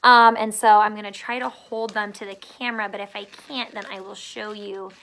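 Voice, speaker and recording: A woman's voice: medium pitch, flat delivery, normal speed, creaky, fairly bright tone, poor audio. A woman teacher giving children an upbeat read-aloud and gardening demonstration in simple terms.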